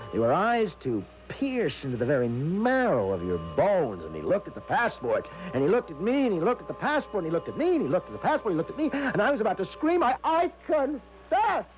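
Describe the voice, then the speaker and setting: An actor playing a timid, naive, anxious young American man in a 1970s radio drama, stammering and hesitant as he talks and narrates. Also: mid-range voice. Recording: dull lo-fi 1970s radio broadcast, music behind.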